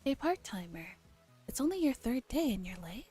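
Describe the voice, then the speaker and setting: Woman reads as gruff visual-novel shopkeeper, a scolding man, soft timbre, music beneath. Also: on the high side, big pitch swings, normal speed, voice pushed up. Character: gruff, scolding male shop boss. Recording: music behind the voice.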